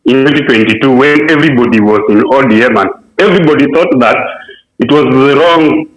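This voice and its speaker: Kenyan dude on speakerphone, echoey, argues fast then trails into uhs. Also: male voice, medium pitch. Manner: argumentative, rapid bursts, frequent fillers. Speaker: radio caller. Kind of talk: call-in opinion.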